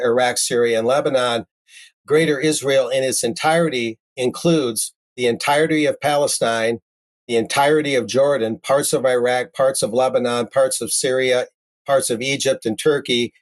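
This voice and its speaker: Guy doing news commentary, reads from articles n throws in wry little asides, conversational delivery. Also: mid-range voice. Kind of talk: news commentary, reading articles aloud with ad-libbed remarks.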